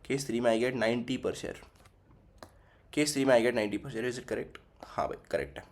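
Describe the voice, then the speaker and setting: A young man teaching a finance class, working through the numbers aloud and repeating figures as he goes, switching between Hindi and English. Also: a little high. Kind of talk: recorded finance lesson.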